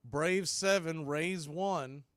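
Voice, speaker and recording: A man calling baseball play-by-play as a radio announcer, in clipped, folksy phrases that range from quiet to excited. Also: on the high side, extremely slow, no echo, clean studio sound. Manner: swings from hushed calls to excited shouts. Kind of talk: live radio play-by-play commentary.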